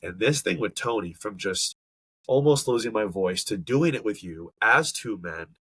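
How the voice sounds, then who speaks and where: Husky, stuffy-nosed man, earnest. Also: fairly low pitch, expressive, normal speed, fairly bright tone, no room sound. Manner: earnest and emphatic, searching for words. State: stuffy nose from allergies. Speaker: podcast co-host. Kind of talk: casual podcast conversation.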